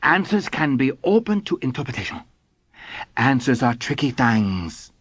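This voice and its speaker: A man's voice: mid-range voice, very animated, moderate pace, breathy edge, no room sound. A man voicing an older scholar who lectures in broken English. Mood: lecturing. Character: shy, smiling older male Japanese Zen scholar. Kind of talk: audiobook character voice.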